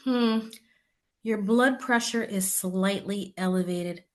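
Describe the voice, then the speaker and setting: A woman playing a frank, caring doctor in an audio play, matter-of-fact and deliberate. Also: medium pitch, darkish tone, close to an everyday voice. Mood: clinical. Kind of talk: community theatre audio play acting.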